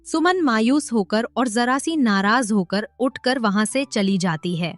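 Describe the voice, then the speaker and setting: Slightly high-voiced woman story narrator. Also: animated, a little slow, dry recording, faint music behind.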